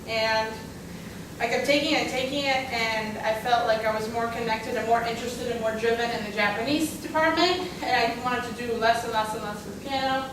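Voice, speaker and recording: A woman's voice: fairly high pitch, steady tone. Young woman, from Russia, native Russian speaker, chatty panel talk, fillers, far from the mic. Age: young adult. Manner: chatty, frequent fillers, quick asides. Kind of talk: casual personal story on a panel. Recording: echoey room, far from mic, background noise.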